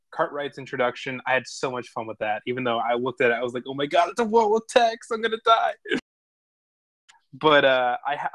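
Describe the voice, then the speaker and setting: A man's voice: mid-range voice, fairly bright tone, dry recording. A man chatting casually and enthusiastically in a rambling, informal way as host of an online stream. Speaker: host and narrator of online script table reads. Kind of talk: casual feedback chat.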